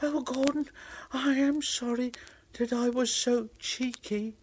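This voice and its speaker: A man voicing a small, anxious, cheeky male engine in a high, squeaky, childlike voice. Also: fairly even intonation, slow pace, slight background noise. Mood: meek.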